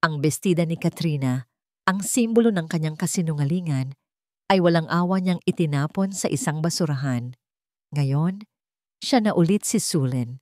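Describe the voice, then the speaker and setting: A Filipino woman reading a scripted romance story as narrator, with dramatic, deliberate phrasing. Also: low-pitched, expressive, darkish tone, no echo, studio quality.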